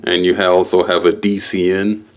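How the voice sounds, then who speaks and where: A man giving a casual, halting unboxing walkthrough as a product reviewer, explaining things piece by piece. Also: low voice, little pitch movement.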